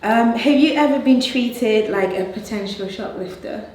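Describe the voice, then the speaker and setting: A woman's voice: on the high side, normal speed, darkish tone. A woman with a British accent, from Nigeria and raised in the UK, hosting a group discussion. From born in Nigeria, grew up in the UK. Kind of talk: panel chat, asking questions. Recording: echoey room, distant mic, faint noise.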